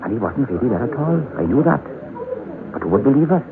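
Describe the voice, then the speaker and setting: An actor playing a haunted Welsh coal miner, telling of terror in a dramatic old-time radio horror style with repeated phrases. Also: male voice, medium pitch, very animated, fast talker. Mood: haunted, intense. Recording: tinny, noisy old radio broadcast.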